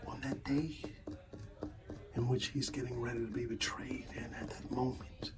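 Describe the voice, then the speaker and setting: A man speaking softly in an ASMR roleplay as an art gallery dealer, pausing mid-phrase and often slipping into a whisper. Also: mid-range voice, flat delivery, far from the mic. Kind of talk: soft-spoken ASMR roleplay, partly whispered.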